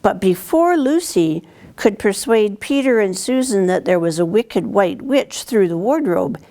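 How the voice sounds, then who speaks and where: An older woman narrates a storybook for young students. Her voice sits at a middle pitch. She reads expressively and deliberately, as a visiting storyteller. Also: animated, dark tone. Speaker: town councillor reading to students. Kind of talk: read-aloud storybook narration. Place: indoors, library corner with bookshelves.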